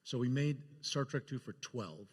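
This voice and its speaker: A man's voice: medium pitch, expressive, normal speed, husky. A man casually recalling film production work as a guest on a live panel. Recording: echoey hall, live panel sound.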